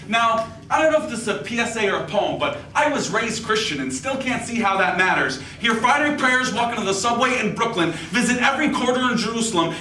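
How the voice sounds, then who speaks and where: A man's voice: sits high, sharp tone. A young man in his twenties performing spoken word poetry live at a college event, with a passionate, rhythmic delivery. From United States. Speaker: poet. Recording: echoey hall, distant mic, faint hiss.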